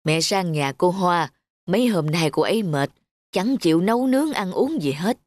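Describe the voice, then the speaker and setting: A woman voicing a gentle, patient, kind-hearted grandmother in a cartoon. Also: on the low side, expressive, normal speed, no room sound, studio quality, lightly acted. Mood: gentle, caring. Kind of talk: cartoon dubbing.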